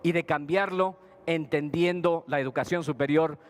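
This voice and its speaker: Man, slightly high voice, formal panel speech, measured and steady. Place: conference hall panel.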